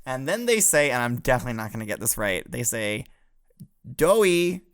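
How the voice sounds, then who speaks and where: Man, host, mock professor, reads letters aloud, laughs a lot, very lively intonation. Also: medium pitch, normal speed, clear, resonant tone. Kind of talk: comedic improvised class roleplay.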